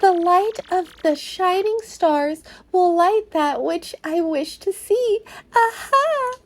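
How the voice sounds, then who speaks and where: Lady doing mystic fortune teller lady, really high n airy, theatrical, slow. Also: expressive, heavily acted. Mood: grand, mysterious. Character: lovely mystical female fortune teller, grandly welcoming.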